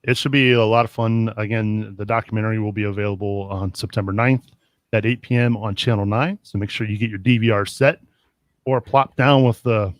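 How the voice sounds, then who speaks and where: A man's voice: fairly low pitch, dry recording. A man hosting a casual sports podcast, steering the talk with plenty of questions. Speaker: podcast host.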